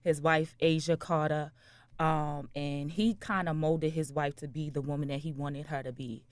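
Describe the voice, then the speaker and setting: A woman's voice: low-pitched, fairly even intonation, moderate pace, no echo. A woman interview guest, a writer and director, explaining a story in a studio interview.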